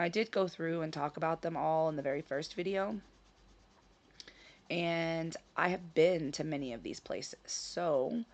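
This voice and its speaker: A woman hosting her own vlog in casual chat, a little hoarse from a scratchy throat, talking with frequent ums and self-corrections. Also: low voice. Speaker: channel host. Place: her home in Sicily.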